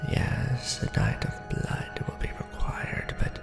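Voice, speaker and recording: A man playing a courtly, brooding vampire lord in an ASMR roleplay, speaking formally and deliberately in a hushed, breathy, gravelly voice. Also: close to an everyday voice. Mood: persuasive, hushed. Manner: formal, hushed, deliberate, theatrical aristocratic address. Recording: roomy echo under background music. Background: music.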